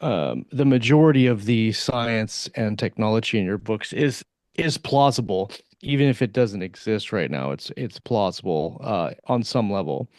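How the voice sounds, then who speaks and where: A man hosting a podcast, asking long, meandering questions in a casual, halting way. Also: lowish voice, animated, darkish tone, no echo, studio quality. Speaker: podcast host. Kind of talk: casual interview chat.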